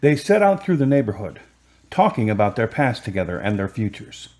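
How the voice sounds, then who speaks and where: A man's voice: lowish voice, sing-song, a little fast, darkish tone. A man giving scripted narration as the narrator and announcer of a radio drama. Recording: music behind the narration. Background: music.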